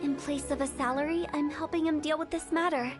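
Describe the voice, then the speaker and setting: A woman voicing a self-assured young female exorcist in a video game. Her voice is high, her delivery brisk, breezy and theatrical, with music clearly playing behind her. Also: steady tone, lightly acted. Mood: explanatory, assured.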